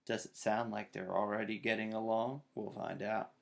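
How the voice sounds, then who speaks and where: A man's voice: fairly low pitch, level tone, normal speed, creaky. A man in his thirties narrating a children's storybook aloud. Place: small child's bedroom, indoors.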